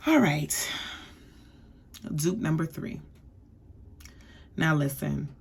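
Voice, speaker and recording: Woman, young adult, low-ish voice, sassy, chatty, casual perfume review. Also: lively intonation, very slow, gravelly, breathy edge. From US East Coast. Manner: sassy, chatty, lots of "okay?". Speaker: beauty vlogger.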